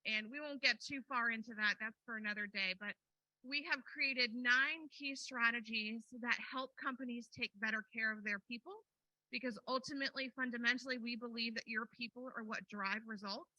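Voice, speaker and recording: A woman's voice: on the high side, steady tone, moderate pace, sharp tone, no echo, lo-fi sound. A woman business advisor giving an upbeat, confident conference talk into a handheld microphone. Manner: confident, upbeat, strong-voiced. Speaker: business advisor presenting at a workshop. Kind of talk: conference presentation. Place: conference room.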